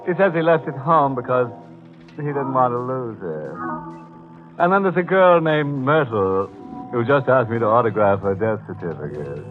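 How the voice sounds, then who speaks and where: A man's voice: medium pitch, lightly acted. An actor playing a ghoulish, mock-friendly horror host in 1940s radio style, drawing out his words with theatrical, macabre relish. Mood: gleefully macabre. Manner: theatrical, drawn-out, macabre deadpan humor. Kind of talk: 1940s radio horror hosting and scripted narration. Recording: tinny old-time radio recording with music.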